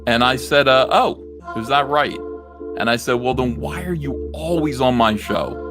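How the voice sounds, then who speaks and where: A man's voice: medium pitch, normal speed. A man in a heated, unscripted livestream rant as host, confrontational and sarcastic. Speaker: live stream host. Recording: music playing loudly behind.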